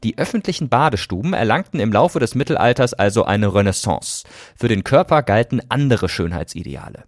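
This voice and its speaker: A man's voice: lowish voice, expressive, fairly quick, no echo, studio quality. A man hosting a podcast, reading scripted narration in a conversational way that addresses listeners directly. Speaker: podcast host.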